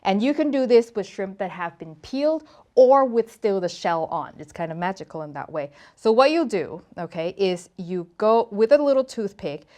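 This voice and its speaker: A woman's voice: medium pitch, animated, normal speed, dark tone. A woman from Thailand hosting a casual cooking tutorial, talking to camera in a chatty, playful, emphatic way. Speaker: cooking show host.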